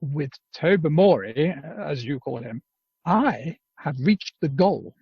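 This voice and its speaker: Man playing a pompous, husky-voiced inventor. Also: fairly high pitch, animated, takes it slowly, dry recording, heavily acted. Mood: smug, lecturing. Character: self-assured, pedantic male inventor lecturing guests. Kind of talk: amateur radio play acting. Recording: muffled video call audio.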